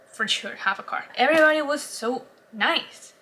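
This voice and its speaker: A woman's voice: high-pitched, moderate pace, sharp tone. A woman from Colombia with a Colombian Spanish accent, Spanish as her first language, chatting casually in a rambling vlog with frequent laughs and restarts. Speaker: vlogger.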